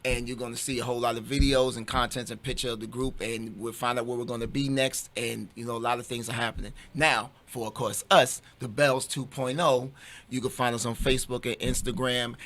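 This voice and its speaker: A man's voice: medium pitch, flat delivery, fairly quick, fairly bright tone. A man speaking as an interview guest, promoting his music groups in casual chat with emphatic, repetitive phrasing.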